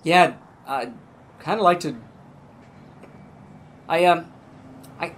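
A middle-aged man playing an awkward, panicky man in an improvised soap opera, halting and apologetic. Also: a little high, a bit bright. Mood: awkward, nervous. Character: awkward, panicky man after a one night stand.

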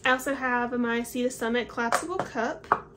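A woman's voice: a little high, moderate pace, heavy background noise. A woman in her twenties casually walking through her hiking gear to the camera in a small home office, explaining each item as she holds it up. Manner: chatty, explains while holding up each item. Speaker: hiking vlogger.

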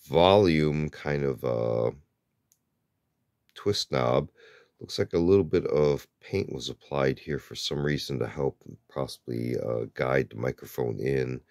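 A man with a very low, gravelly voice hosts a show-and-tell video, talking slowly and hesitantly with lots of filler words. He speaks at a table indoors. The sound is dry and close. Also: dry recording. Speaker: host of a show-and-tell channel. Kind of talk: casual show-and-tell narration.

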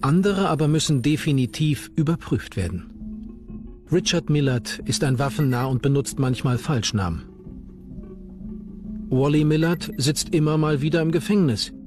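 A man's voice: on the high side, moderate pace. A man reading scripted documentary narration as the narrator. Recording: music under the voice. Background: background music.

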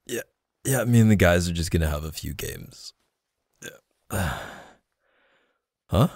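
Low, gravelly laid-back boyfriend, played by a man. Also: lively intonation, measured pace, darkish tone, no room sound, exaggerated voice. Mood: casual, coaxing. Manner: casual, hesitant, sighing, coaxing with pet names. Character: laid-back, apologetic young boyfriend soothing his neglected partner. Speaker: roleplay audio performer. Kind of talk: boyfriend ASMR roleplay, casual intimate one-sided dialogue.

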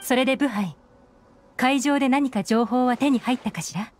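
An actress voicing a cool, shrewd young woman who runs an intelligence network in a video game, composed, deliberate and knowing. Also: sits high, close to an everyday voice. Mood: calm, assured. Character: cool, shrewd female intelligence operative and boss. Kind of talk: Japanese video game voice acting. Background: background game music.

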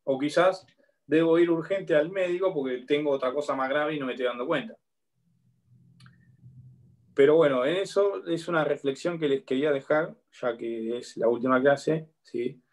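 A man's voice: a little high, normal speed. Argentine man lecturing on a video call. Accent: Argentine Spanish. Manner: explanatory, checks in with a frequent "¿sí?". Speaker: law lecturer. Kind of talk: online university lecture. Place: home room with bookshelf. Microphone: laptop webcam on a video call.

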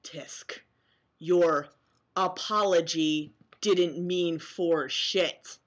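A woman's voice: fairly low pitch, steady tone, drawn-out words, dull audio. A woman in her twenties vlogging to a webcam, mixing casual sarcastic commentary with mock-dramatic, theatrical reading. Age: 20s. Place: small dim bedroom, indoors.

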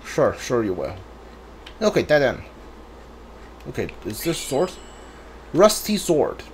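A man's voice: medium pitch, huge pitch swings, slow pace, slight background noise. A man giving casual let's play commentary, muttering reactions and reading item names aloud as he plays. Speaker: video game player streaming a playthrough.

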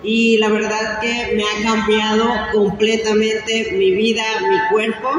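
A woman's voice: mid-range voice, flat delivery, a little slow, a crowd in the background. A woman giving a grateful testimonial to an audience. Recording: echoey hall with noise.

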